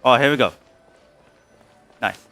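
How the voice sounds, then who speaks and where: A man livestreaming a game with casual commentary, muttering in halting, fragmented phrases. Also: medium pitch, bright, forceful tone, faint music behind. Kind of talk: casual live gameplay commentary.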